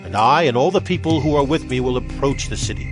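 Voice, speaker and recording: A man's voice: medium pitch, animated, fast talker, music in the background, lightly acted. An actor playing an earnest, authoritative male leader of ancient Israel in a dramatized scripture reading. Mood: commanding. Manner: earnest, commanding.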